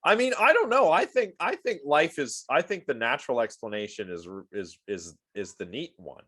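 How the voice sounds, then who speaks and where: A man's voice: fairly high pitch, animated, normal speed, a bit bright, breathy edge. A man giving a casual online lecture on a video call and reacting to the chat. He is sniffly from allergies and rambles with lots of fillers and restarts.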